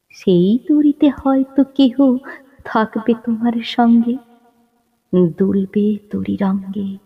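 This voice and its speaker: A woman recites poetry with great deliberation and expressive pauses. Her medium-pitched voice is husky and breathy, rising and falling with dramatic feeling. It sits in a long reverb, with a slightly dull, lo-fi finish. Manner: expressive, pausing, swelling and dropping to hushed refrains. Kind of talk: dramatic Bengali poem recitation, slow and measured.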